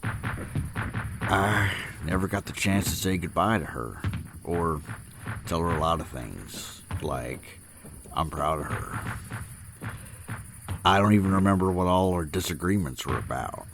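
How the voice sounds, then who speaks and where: Man acting a gruff, slow-talking old general. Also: deep voice, noisy background. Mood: grieving, regretful. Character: gruff, defiant older military general. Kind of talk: sci-fi audio drama acting. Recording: music behind the voice.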